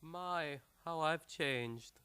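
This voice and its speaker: An actor playing a meek, dreamy doctor, a crook's fawning accomplice, in a radio play. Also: male voice, fairly high pitch, extremely slow, bright, forceful tone, clear, resonant tone, heavily acted. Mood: mild. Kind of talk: stage-style radio play acting.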